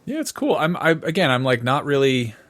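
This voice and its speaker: A man's voice: a little high, sing-song, fairly quick, a bit bright. A man co-hosting a podcast, chatting casually and informally about the past, with some swearing. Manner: informal, profane. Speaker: podcast co-host. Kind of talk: casual chat, reminiscing about music.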